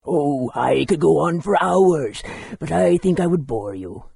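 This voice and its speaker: An actor playing a courteous, bookish town historian in a fantasy game, speaking politely and in a measured, scholarly way. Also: male voice, fairly high pitch, animated, gravelly, a little mellow, husky, no room sound, clean studio sound, full character voice. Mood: helpful, cautionary.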